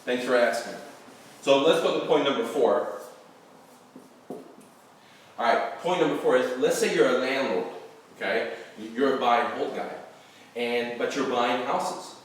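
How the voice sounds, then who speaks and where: A man's voice: mid-range voice, measured pace, pauses often. A man from Iran, a real estate investor and channel host, giving loose, rambling advice to camera and checking in with "okay?" as he goes, with the odd swear word. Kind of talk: casual instructional talk to camera. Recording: very echoey room, mic far off.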